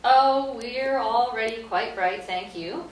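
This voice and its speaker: A woman's voice: fairly high pitch, takes it slowly. A woman playing a curt, gloomy and sardonic playwright in a live stage play reading. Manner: sardonic, dismissive. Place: echoey indoor stage or hall. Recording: echoey room, distant mic, faint noise.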